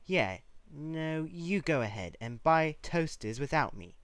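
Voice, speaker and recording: A spoiled little cartoon pony girl, put on by a male reader, snappy and whiny. The pitch sits fairly high for a man and moves about a lot, in a dry, close recording. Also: expressive, slow pace, a bit bright, clean tone, dry recording. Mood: dismissive.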